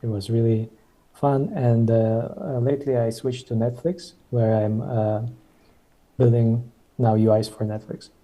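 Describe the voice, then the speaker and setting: A man's voice: medium pitch, level tone, a little slow, warm tone, rough recording. A man in his thirties from Ukraine, speaking as a guest on a video call in a hesitant, casual way with many fillers and restarts. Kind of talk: casual webinar conversation.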